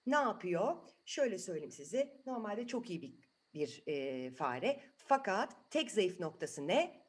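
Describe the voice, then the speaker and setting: A woman's voice: medium pitch, very animated, unhurried. A woman telling a story to children in an improvised, chatty way, asking the listeners questions as she goes.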